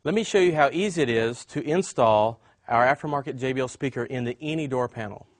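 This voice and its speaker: A man's voice: medium pitch, a little fast, no room sound. A man presenting an instructional product demonstration, explaining things step by step as a demonstrator.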